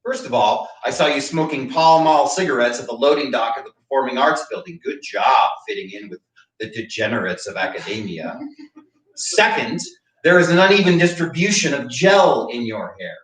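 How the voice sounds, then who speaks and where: Guy playing boozy bitter old philosophy prof, pompous, pitch swings all over, roomy far-off mic. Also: expressive, normal speed, fairly bright tone, natural voice. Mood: mocking. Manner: pompous, sardonic, pontificating. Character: bitter, drunken older male philosophy professor near retirement. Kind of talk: staged play reading. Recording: echoey room, distant microphone.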